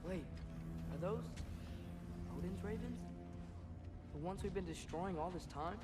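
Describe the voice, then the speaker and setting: A young actor playing an earnest, curious teenage adventurer in a video game. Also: male voice, sits high, a little slow, loud music behind the voice. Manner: casual, inquisitive.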